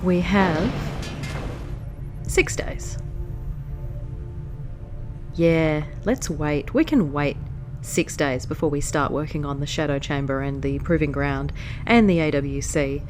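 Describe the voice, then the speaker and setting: Stuffy-nosed lady chatting over her game, kinda low voice, game music playing behind her. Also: slow, pauses often. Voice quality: nasal. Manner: chatty, easily distracted. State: stuffy nose from hay fever. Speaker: let's play host. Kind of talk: casual gameplay commentary.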